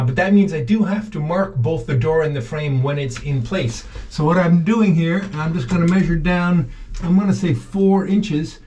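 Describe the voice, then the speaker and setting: A middle-aged man casually talking through his hands-on work to the camera, with halting pauses as he goes. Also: a little high, darkish tone, dull audio. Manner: talks haltingly while working, with pauses. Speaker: vlog host, boat restorer. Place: inside a wooden boat in a shed and at its workbench. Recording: noisy workshop recording with clicks.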